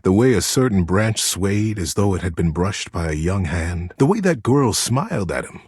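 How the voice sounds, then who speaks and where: An actor voicing a gentle, earnest storyteller character from a game, narrating a bedtime tale with dramatic pauses. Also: male voice, sits low, very animated, breathy edge, no room sound, studio quality, exaggerated voice. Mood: melancholy. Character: gentle male teddy bear storyteller, tender and earnest.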